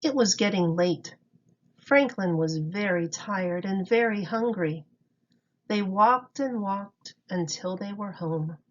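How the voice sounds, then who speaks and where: A woman's voice: medium pitch, expressive, slow. A woman teacher reading a picture book aloud to young children in storytime style. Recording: dull lo-fi recording.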